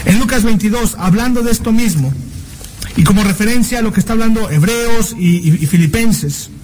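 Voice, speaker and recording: High-voiced man preaching a slow, earnest sermon. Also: darkish tone, slight background noise. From Mexico. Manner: earnest, slows and stretches words for emphasis, asks rhetorical questions.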